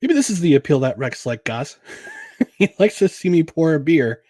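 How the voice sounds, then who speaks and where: A man's voice: on the high side, lively intonation, normal speed, mellow, no room sound, studio quality. A man hosting a casual solo podcast about sports and beer, rambling with chuckles, filler words and restarts. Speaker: podcast host.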